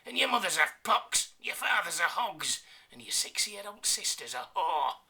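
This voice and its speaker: A man's voice: high-pitched, very animated, moderate pace, pushed and bright, husky, voice pushed up, exaggerated voice. A man voicing a cocky, foul-mouthed Yorkshireman with a Yorkshire accent, bellowing taunts from a hilltop. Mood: jeering.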